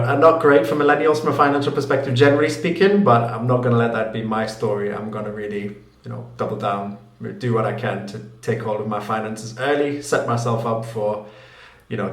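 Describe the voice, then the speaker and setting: A man, a guest answering interview questions with plenty of fillers and restarts. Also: on the low side. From Newcastle, England. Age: late 20s. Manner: thoughtful, many fillers and restarts, laughs easily. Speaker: guest, finance YouTuber. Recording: roomy echo.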